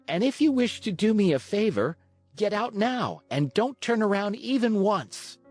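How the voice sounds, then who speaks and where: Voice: mid-range voice, big pitch swings, normal speed, darkish tone, dry recording, faint music behind, voice pushed up. A narrator voicing a defiant, impudent boy marionette in a storybook reading, with a cheeky, petulant manner. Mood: bossy, impatient.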